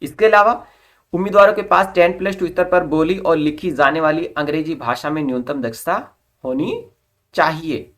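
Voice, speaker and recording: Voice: mid-range voice, normal speed, a bit bright. A presenter with a mid-range voice delivers an informational explainer. The delivery is instructional, reading formal notice wording and then putting it in simpler everyday terms. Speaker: presenter of job recruitment updates.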